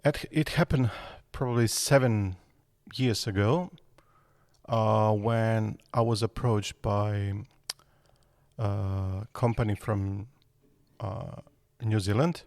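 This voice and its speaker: A man's voice: fairly low pitch, fairly even intonation, slow, darkish tone, dry recording, studio quality. A man, answering podcast interview questions hesitantly with many fillers. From Serbia. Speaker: guest architect.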